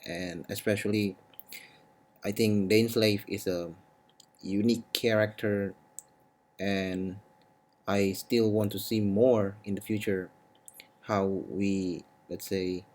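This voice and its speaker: Low-voiced man giving casual commentary. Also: a little mellow. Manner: hesitant, searching for words. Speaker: gameplay video creator.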